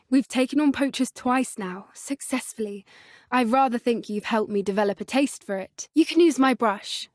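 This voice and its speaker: A woman with a British accent playing an earnest, gentle teenage witch student in a video game, soft-spoken and politely phrased. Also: a little high, no room sound, clean studio sound, close to an everyday voice. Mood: warm, grateful, then gentle, soothing. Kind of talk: video game character dialogue.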